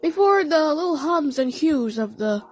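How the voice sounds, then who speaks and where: Female fan audio play narrator: high pitch, lively intonation, halting dramatic storytelling. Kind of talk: storytelling in character, first person.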